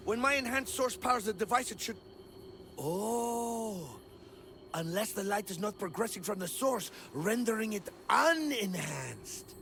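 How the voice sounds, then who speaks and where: An actor playing an eager, fussy botanist in a video game, wordy and pedantic, easily flustered. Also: male voice, sits high, expressive, unhurried, vocal fry, sharp tone, slight background noise, heavily acted. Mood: flustered, puzzled. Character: eager, fussy male botanist of a mythical city, absorbed in theory. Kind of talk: video game cutscene acting.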